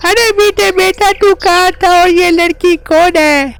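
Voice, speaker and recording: A woman's voice: very high voice, unhurried, creaky, pitched above the performer's own voice, heavily acted. A woman voicing a simple, homely village mother who fusses over her grown son in an Urdu cartoon moral story. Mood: surprised, fussing.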